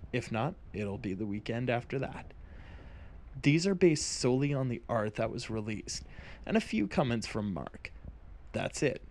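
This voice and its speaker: A man who hosts the channel reading a fantasy story aloud in a measured, deliberate way. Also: medium pitch. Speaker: channel host and narrator. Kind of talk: scripted story narration.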